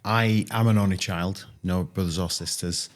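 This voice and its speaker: A man from East Leeds with a Yorkshire accent, a podcast guest telling childhood stories in a friendly, relaxed way. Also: sits low, normal speed. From East Leeds, England. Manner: friendly, relaxed storytelling with fillers. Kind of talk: casual interview storytelling.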